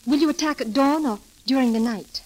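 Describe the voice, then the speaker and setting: A woman's voice: high-pitched, fairly quick, a little hiss or hum, lightly acted. An actress playing a refined, earnest young lady-in-waiting in a romantic 1930s radio drama. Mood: poised.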